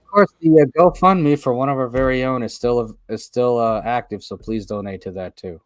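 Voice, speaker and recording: A man's voice: medium pitch, moderate pace, dry recording. A man hosting a casual radio talk show, telling stories with lots of fillers. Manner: animated storyteller with frequent fillers.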